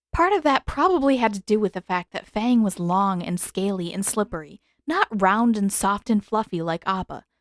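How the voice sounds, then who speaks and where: Animated woman narrating a story. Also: medium pitch, dry recording, clean studio sound. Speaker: fan fiction author and narrator. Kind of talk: expressive scripted story narration.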